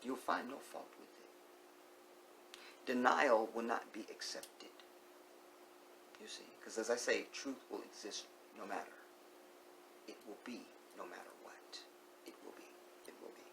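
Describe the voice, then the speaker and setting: A man with a gravelly, creaky voice talks straight to his viewers in an opinionated monologue. He hammers his points by repeating phrases and asking rhetorical questions. Now and then he drops to a hushed whisper over a faint hiss. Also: mid-range voice, animated, unhurried, pauses often. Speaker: vlogger.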